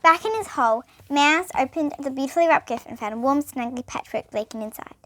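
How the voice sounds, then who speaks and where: A woman's voice: high voice, fairly quick, fairly bright tone. A young girl reading a children's bedtime story aloud, expressively and with small stumbles. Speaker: storybook reader.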